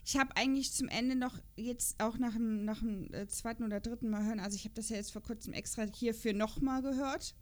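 High-voiced woman chatting as podcast co-host. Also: steady tone, brisk, hardly any pauses. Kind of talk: casual chat about an audio drama.

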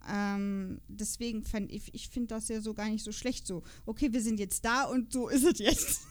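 Woman, higher-pitched voice, podcast host, casual chat, talkative and rambling. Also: steady tone, normal speed, darkish tone.

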